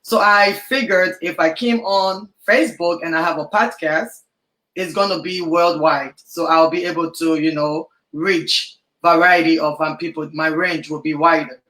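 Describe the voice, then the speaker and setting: A woman's voice: sits low, fairly even intonation, moderate pace, fairly bright tone, echoey room, low-quality recording. A woman born in Liberia and raised in Ghana, a podcaster and journalist, answering interview questions in a rambling way with many fillers.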